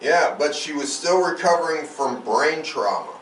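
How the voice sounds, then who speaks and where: A man's voice: fairly high pitch, animated, normal speed, a bit bright. A man hosting a true crime podcast, reading out case notes with irreverent, sweary, casual asides. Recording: roomy, distant mic, faint background noise.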